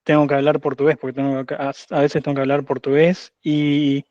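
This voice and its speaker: A man's voice: a little high, little pitch movement, fairly quick, a little mellow, no room sound. A man interviewing casually, hesitant and searching for his words. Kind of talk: casual conversation. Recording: dull dry sound.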